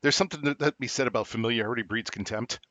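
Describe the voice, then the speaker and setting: A middle-aged man co-hosting a podcast in casual chat, rambling with many halting restarts and fillers. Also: mid-range voice, bright, forceful tone, dry recording. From New Jersey. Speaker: podcast co-host. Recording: dull lo-fi dry recording.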